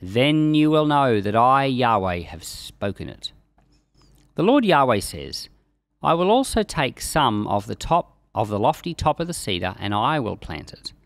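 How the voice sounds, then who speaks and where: A man's voice: mid-range voice, big pitch swings, measured pace, dry recording. A man in his forties giving informal, explanatory Bible teaching, conversational but emphatic on key words, close to a desk microphone. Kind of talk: explanatory Bible teaching, reading scripture aloud. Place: small indoor study with bookshelves. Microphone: close desk microphone on boom arm.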